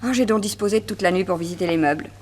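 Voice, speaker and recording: A woman acts a detective's capable female accomplice in a sixties French radio serial. The voice is mid-range. The delivery is confident, brisk and matter-of-fact. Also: mid-range voice, a little mellow, a little hiss or hum, natural voice. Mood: weary, pleased.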